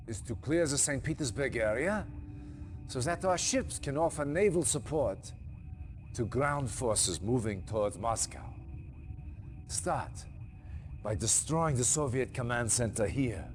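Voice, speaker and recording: Commanding officer, slow and deliberate, pitch moves a lot, game briefing. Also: male voice, expressive. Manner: measured, authoritative mission briefing. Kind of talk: game cutscene acting. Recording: game soundtrack music underneath.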